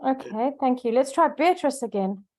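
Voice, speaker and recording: A woman introducing herself on a video call, enthusiastic and warm, with a few filler ums. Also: sits high, lively intonation, normal speed. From Zambia. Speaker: NGO founder, course participant.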